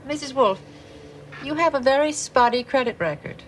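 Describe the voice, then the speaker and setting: An actress playing a poised, coolly firm credit company official with measured, formal speech in a television drama. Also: female voice, high-pitched, a little hiss or hum, close to an everyday voice, low-quality recording. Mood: cool, businesslike. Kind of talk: naturalistic television drama acting.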